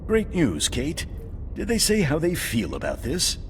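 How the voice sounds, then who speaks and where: A man's voice: a little high, wildly swooping pitch, unhurried, big-room sound, over music. An actor playing a slick, smarmy male propaganda news anchor.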